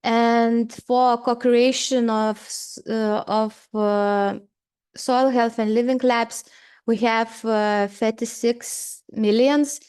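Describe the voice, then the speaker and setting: Latvian-accented woman, seminar presenter, speaks English as a second language, higher voice, hesitant delivery. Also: fairly even intonation, darkish tone, clear, resonant tone. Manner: halting, many fillers and restarts.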